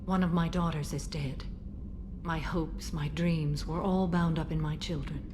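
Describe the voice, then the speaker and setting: A woman's voice: sits low, steady tone, a little slow, noisy background. A woman playing a stern, sorrowful, centuries-old alien warrior mother in a video game, speaking in a solemn, formal way. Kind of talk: video game character acting. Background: game music.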